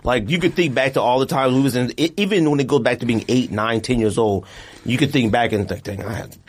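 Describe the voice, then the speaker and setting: A man's voice: mid-range voice, fairly quick, slightly rough. A man co-hosting a casual podcast in slangy, argumentative banter. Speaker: podcast co-host.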